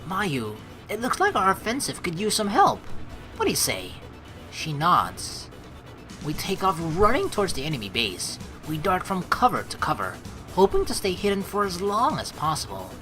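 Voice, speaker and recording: A woman streamer reading a game's narration aloud, mixed with casual, expressive commentary. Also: lowish voice, moderate pace, soft background music. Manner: expressive, animated asides. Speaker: let's play streamer.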